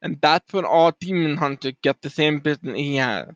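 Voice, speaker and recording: A man explains things off the cuff, emphatically and in halting steps. His voice is somewhat high, caught on a dry, slightly dull mic. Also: no echo. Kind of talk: unscripted explanatory commentary.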